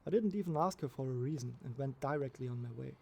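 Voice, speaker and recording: A man reading a scripted first-person horror story as its narrator, with a gravelly voice. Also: mid-range voice, fast, darkish tone.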